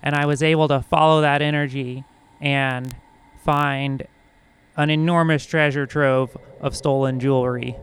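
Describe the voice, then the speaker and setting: A man's voice: mid-range voice, steady tone, measured pace, clean tone. A man playing a tabletop game, improvising in character in a brief, matter-of-fact manner.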